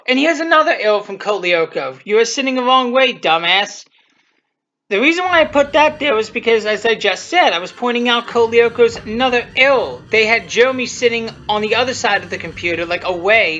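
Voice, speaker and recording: A person giving rambling, unscripted commentary on their own video, halting and repeating themselves, with blunt, self-deprecating swearing. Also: mid-range voice, bright, forceful tone. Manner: halting, repetitive, often restarting sentences. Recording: muffled lo-fi audio, music behind.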